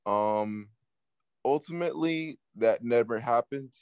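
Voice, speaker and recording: A man from Peru who grew up partly in New York, giving casual interview answers as a music artist, haltingly and with repeated words. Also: medium pitch, a little mellow, clear, resonant tone, no room sound, clean studio sound. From Peru, moved to the US at six, based in New York City. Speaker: guest, up-and-coming Latin music artist.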